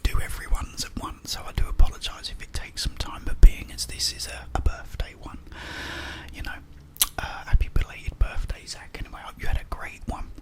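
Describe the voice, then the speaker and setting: A man whispering breathily in a hushed, unhurried ASMR ramble while eating. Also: low-quality recording. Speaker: ASMR video creator.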